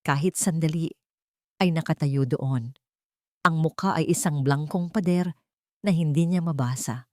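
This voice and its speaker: A woman's voice: low voice, unhurried, pauses often, a little mellow, dry recording, clean studio sound. A woman narrator reading a scripted romantic drama in a dramatic storytelling style.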